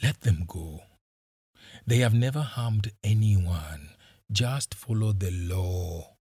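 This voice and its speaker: A man's voice: on the low side, lively intonation, takes it slowly, warm tone, breathy edge, no echo, clean studio sound, full character voice. A man voicing a solemn young man who pleads firmly for his friends. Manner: solemn, firm.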